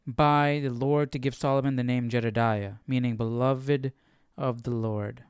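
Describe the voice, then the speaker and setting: A man's voice: mid-range voice, steady tone, a little slow, smooth, dry recording, studio quality. A man hosting a live Bible study, casually musing and thinking aloud in a puzzled way.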